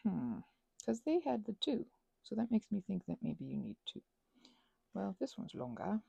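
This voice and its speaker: Rambling woman, soft and slightly husky. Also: medium pitch, sing-song, dry recording. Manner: playful, whimsical asides to listeners; halting, drifts into murmurs and self-talk. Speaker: ASMR and audio creator. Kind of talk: rambling casual craft chat, thinking aloud.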